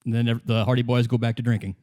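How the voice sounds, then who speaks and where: A man's voice: medium pitch, flat delivery, rapid-fire, darkish tone. A man narrating an improvised tabletop role-play as game master in an informal, explanatory way.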